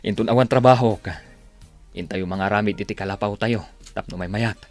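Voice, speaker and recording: A man's voice: fairly low pitch, normal speed, a little mellow, faint music behind, lightly acted. A man with an Ilocano accent playing a practical, caring village father in a radio drama. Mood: planning.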